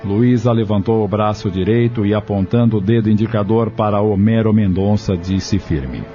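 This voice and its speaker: Casting: male narrator for radio novela, lowish voice, scripted storytelling, muffled with clear music behind. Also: steady tone, normal speed, warm tone. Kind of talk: scripted audio drama narration. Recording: muffled lo-fi audio over music.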